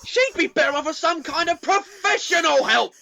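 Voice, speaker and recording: A woman's voice: very high voice, lively intonation, moderate pace, creaky, bright, forceful tone, full character voice. A woman playing an angry, headstrong stranded passenger in an amateur radio play, combative and sarcastic. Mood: defiant.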